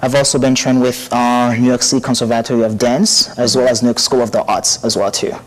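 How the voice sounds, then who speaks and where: A man's voice: medium pitch, level tone, a little fast, poor audio. A man from Haiti, a company founder speaking earnestly and leaning on "pretty much" as he gives a TV interview. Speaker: fashion company founder, guest.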